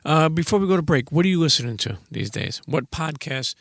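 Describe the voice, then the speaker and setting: A man's voice: fairly high pitch, expressive, brisk, vocal fry, no room sound, studio quality. A man hosting a casual interview podcast, colloquial and talking straight to his listeners. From Brooklyn, New York. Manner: colloquial, addresses listeners directly. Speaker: podcast host.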